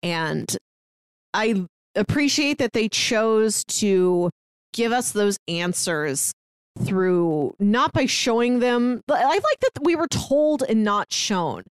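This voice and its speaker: A woman co-hosting a casual TV review podcast, giving measured, thoughtful opinions. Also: mid-range voice, very animated, clean tone, dry recording, rough recording. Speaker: podcast co-host.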